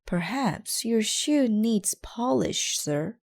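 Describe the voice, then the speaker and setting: A courteous female pterodactyl officer from a storybook, performed by a woman reader. She is helpful and matter-of-fact, with a raised pitch and unhurried pace. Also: animated, vocal fry, a little mellow, full character voice. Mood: patient, reasoning.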